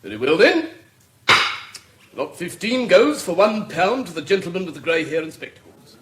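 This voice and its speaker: Theatrical, swooping British auctioneer, echoey room. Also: male voice, high-pitched, sing-song, moderate pace, heavy background noise. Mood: brisk. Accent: British English. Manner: pompous, salesmanlike patter. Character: theatrical wheedling male auctioneer, easily affronted. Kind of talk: radio drama acting.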